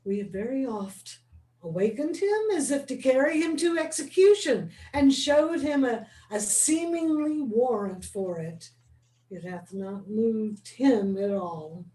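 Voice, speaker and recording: A woman playing a dutiful male prison provost in a Shakespeare scene rehearsal, speaking verse in a slow, measured way. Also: on the high side, lively intonation, mellow. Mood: earnest. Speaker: acting student. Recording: roomy and distant.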